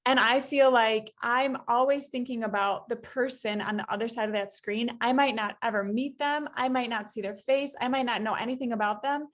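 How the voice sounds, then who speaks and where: A woman's voice: fairly high pitch, moderate pace, few pauses, a bit bright. A woman guest on a podcast, speaking enthusiastically and affirming the host. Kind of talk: casual podcast conversation.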